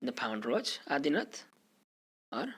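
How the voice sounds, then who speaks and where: A teacher with an Indian accent giving an exam-prep history lecture, speaking haltingly and often checking in with "okay". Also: mid-range voice, very animated, creaky, fairly bright tone, husky.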